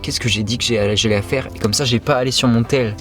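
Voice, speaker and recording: A young French man vlogging to camera, chatty and relaxed, stumbling over words now and then. His pitch sits in the middle range. Soft music plays faintly behind him. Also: fast talker. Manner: relaxed, chatty, many fillers and restarts. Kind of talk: casual vlog narration to camera.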